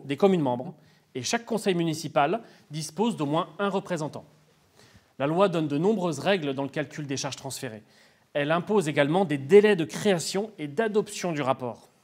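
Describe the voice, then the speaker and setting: A man's voice: fairly high pitch, animated, normal speed, a little mellow. A man giving formal, didactic explanations as an expert in an interview, in structured, prepared sentences.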